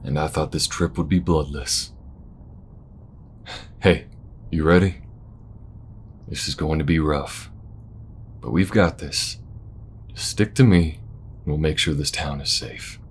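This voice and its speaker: Man acting as a very deep-voiced folksy space bounty hunter. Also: slight background noise. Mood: urgent, steady. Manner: folksy, unhurried, reassuring. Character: folksy, loyal male space bounty hunter, steady and protective. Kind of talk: intimate audio roleplay acting.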